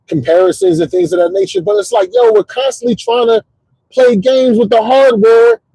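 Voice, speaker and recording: A middle-aged man hosts a casual stream, swinging up and down through a high, mellow voice with a gravelly edge. He talks in a looping, motivational way, doubling back on his words and checking in with his listeners. The sound is close and dry. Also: no room sound, poor audio. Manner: animated motivational rambling, repeats words, says "you know what I'm saying". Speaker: host. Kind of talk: casual livestream talk.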